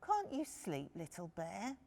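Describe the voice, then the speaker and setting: Woman as a patient big bear, creaky voice. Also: on the low side, wildly swooping pitch, unhurried, full character voice. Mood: questioning. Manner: gentle, coaxing, parental. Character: big grown-up male bear, patient and caring. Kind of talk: children's picture-book story reading.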